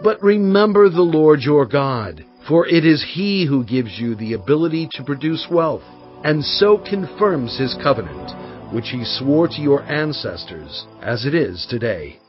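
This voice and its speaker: Solemn, animated biblical prophet. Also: male voice, fairly high pitch, a little slow. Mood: warning, earnest. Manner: solemn, emphatic oratory. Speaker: dramatized audiobook reader. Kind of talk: dramatized scripture reading. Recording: dull audio with faint music bed. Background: faint background music.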